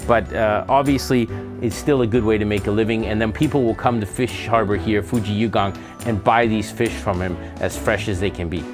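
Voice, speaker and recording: A man's voice: lowish voice, fairly even intonation, fairly quick, few pauses. A man in his forties presenting a travel show on a fishing boat, explaining things to camera with a lapel mic. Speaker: show host visiting fishermen. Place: fishing boat and indoor seafood market. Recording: with background music.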